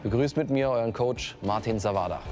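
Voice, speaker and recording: A man's voice: mid-range voice, brisk. A man hosting a reality show, announcing the coaches in an upbeat way. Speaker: host. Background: music.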